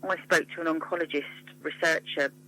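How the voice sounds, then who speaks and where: A woman's voice: deep voice, fairly even intonation, brisk, pushed and bright, rough recording. A woman with an English accent speaking passionately and emphatically as a guest in a radio interview. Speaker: interview guest, mental health charity founder and psychotherapist.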